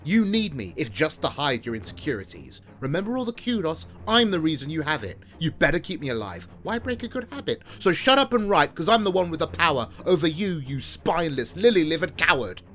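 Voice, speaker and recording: An actor playing a boastful, bullying hero, delivering rhyming lines in a taunting, pompous, theatrical manner. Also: male voice, a little high, sing-song, brisk, hardly any pauses, a bit bright. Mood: threatening, boastful. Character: bullying, boastful fictional hero man turned on his author. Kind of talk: rhyming scripted audio drama.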